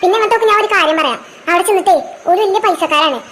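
A performer voices a boastful cartoon village girl with an extremely high voice. She rattles off instructions, sounding like a know-it-all elder sister. A faint noise sits behind the recording. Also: rapid-fire, a bit bright. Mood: bossy. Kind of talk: amateur cartoon dubbing.

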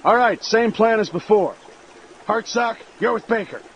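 A man playing a gruff American army sergeant, giving brisk, authoritative orders. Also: high-pitched, animated, slight background noise. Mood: commanding, urgent. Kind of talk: military orders in game dialogue.

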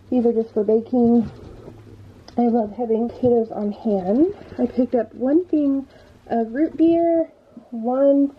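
A woman's voice: fairly high pitch, dark tone, breathy edge. An American woman chatting casually to viewers as a home vlogger, in a slightly muffled indoor recording. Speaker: vlogger showing a grocery haul.

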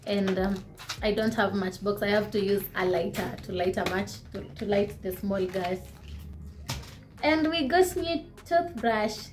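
A woman's voice: mid-range voice, measured pace, a little mellow. A young woman in her twenties with a Kenyan accent, chatting casually about her shopping haul as she holds up each item to the camera in her living room. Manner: chatty, code-switching, shows items to camera. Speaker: vlogger.

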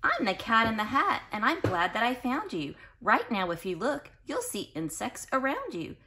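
A woman reading a picture book aloud to young children like a teacher, expressive and carefully spoken, with explanatory asides and questions. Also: on the high side, moderate pace, slight background noise.

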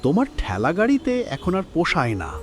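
A man's voice: a little high, huge pitch swings, brisk, over music. A man voicing a brusque, dismissive businessman in a cartoon. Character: dismissive businessman turning away a handcart puller. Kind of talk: dramatised cartoon dialogue.

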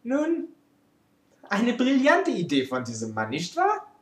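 Woman acting as a vain French male artist, French accent, slow theatrical lecturing, roomy echo. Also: a little high, huge pitch swings, full character voice. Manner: pompous, theatrical, with broken German grammar. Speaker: presenter. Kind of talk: comic in-character art history lecture. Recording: roomy, echoing amateur recording.

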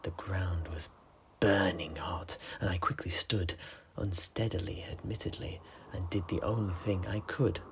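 A man playing a worldly tavern keeper, recounting adventures in a husky, breathy voice with measured dramatic pauses and conversational asides. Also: low voice, steady tone, fairly bright tone, a little hiss or hum. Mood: grave, wry. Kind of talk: in-character fantasy storytelling.

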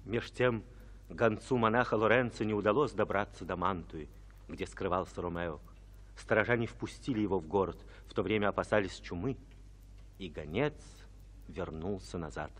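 A man narrating a radio drama from a script in a solemn, measured, dramatic way. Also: low-pitched, fairly bright tone.

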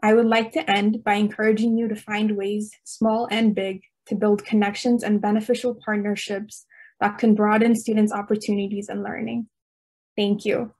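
Young woman from Ethiopia, mid pitch, flat steady read of prepared panel remarks. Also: normal speed. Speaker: student panelist, tutor. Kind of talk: reading a prepared speech. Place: home room on a video call.

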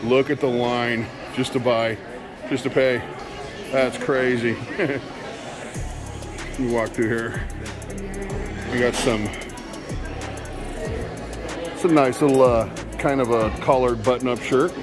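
A man's voice: mid-range voice, fairly even intonation, a little slow, pauses often. Middle-aged man vlogging casually, music behind. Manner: informal slangy exclamations. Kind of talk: casual vlog commentary. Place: large busy retail store.

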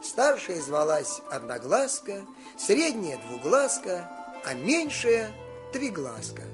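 A man narrating a folk fairy tale in an expressive, singsong storytelling manner. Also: high voice, slow, music in the background.

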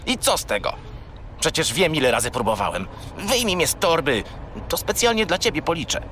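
An actor playing a naughty, chatty little furry companion who rambles and whines naively in a children's radio play. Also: male voice, on the high side, big pitch swings, brisk, bright, forceful tone, slight background noise. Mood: whiny, pleading. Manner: rambling, naively evasive, whiny.

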